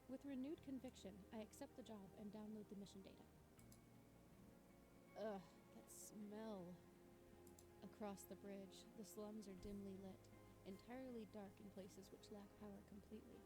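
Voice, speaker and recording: A woman's voice: mid-range voice, steady tone, normal speed, over music, lightly acted. Woman acting a determined, wry cyberpunk investigator. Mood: reflective, resolved, then disgusted. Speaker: visual novel voice actress. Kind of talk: scripted first-person narration.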